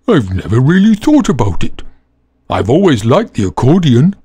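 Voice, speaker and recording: A man's voice: medium pitch, huge pitch swings, moderate pace, mellow, slightly rough, no room sound. A man voicing a tired old dog who has run away from his master, in a storybook reading. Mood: weary. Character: old, weary male hunting dog.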